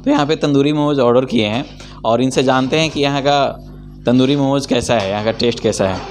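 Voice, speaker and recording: A man's voice: mid-range voice, brisk. A young man from Bihar hosting a casual food vlog, interviewing diners in a small restaurant with a handheld mic. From Bihar, India. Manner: chatty, asks short friendly questions. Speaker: food vlogger. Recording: music playing behind.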